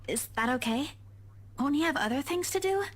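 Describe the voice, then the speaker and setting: An actress playing a young woman, a soft-hearted but easily exasperated friend, in a visual novel game. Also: sits high, expressive, moderate pace. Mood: hesitant.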